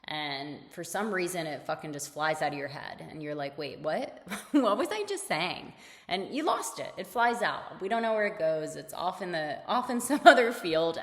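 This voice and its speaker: A woman hosting a solo motivational podcast, talking casually and repeating her key points, her voice slightly raspy. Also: deep voice, a bit bright, in a large hall. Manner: casual and conversational, repeats key points, laughs between phrases. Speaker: podcast host.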